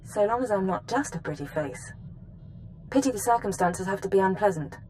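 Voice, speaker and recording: A woman playing a composed, efficient intelligence analyst in a video game, giving briefings in a crisp, businesslike manner. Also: mid-range voice, lively intonation, a little fast, a little mellow, soft background music, lightly acted, rough recording.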